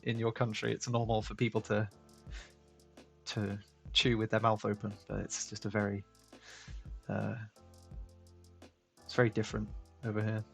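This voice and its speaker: A man from the UK hosting a livestream, chatting in a relaxed, rambling way and reading viewers' messages aloud. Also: on the low side, vocal fry. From United Kingdom. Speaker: stream host. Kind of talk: casual livestream chat.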